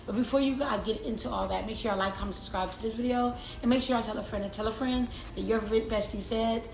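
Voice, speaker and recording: A woman's voice: fairly high pitch, fairly even intonation, fast. A young adult woman vlogger chatting casually and confidingly to her viewers, often repeating words for emphasis. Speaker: lifestyle vlogger. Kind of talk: casual confiding chat to camera. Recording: roomy echo, clear background noise.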